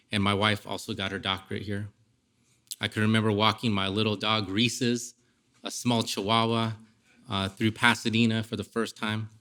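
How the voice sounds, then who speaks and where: A man's voice: on the low side, sharp tone. A man from Los Angeles giving a public lecture through vivid, dramatic personal storytelling. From East Los Angeles, United States. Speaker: guest lecturer, former pastor.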